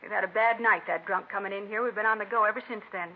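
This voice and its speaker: A woman's voice: mid-range voice, fairly even intonation, fast talker, lightly acted. An actress playing a brusque, suspicious woman who runs a small motel in a vintage radio crime drama, curt and defensive. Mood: angry, insistent. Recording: tinny, noisy vintage radio recording.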